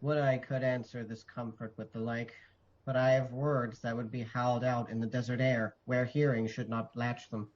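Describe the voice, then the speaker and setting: A man's voice: medium pitch, little pitch movement, a little hiss or hum, natural voice. Muffled, sorrowful noble messenger, acted by a man. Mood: heavy, reluctant. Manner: measured, solemn verse delivery. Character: Ross, sorrowful noble messenger bearing dreadful news. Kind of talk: Shakespearean radio drama acting. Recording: slightly dull, muffled audio.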